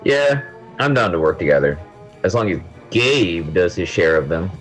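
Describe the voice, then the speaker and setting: A man's voice: low-pitched, very animated, moderate pace, faint music behind, poor audio. A man playing a bombastic, melodramatic student gamer with grandiloquent speech, in an amateur audio drama. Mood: grumbling. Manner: theatrical, grandiloquent ranting.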